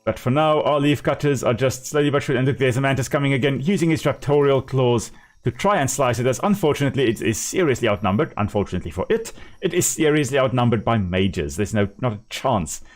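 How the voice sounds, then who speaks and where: A man's voice: medium pitch, expressive, fast. A man with a South African accent, a native Afrikaans speaker, chatting casually and explaining as he plays a game on a live stream.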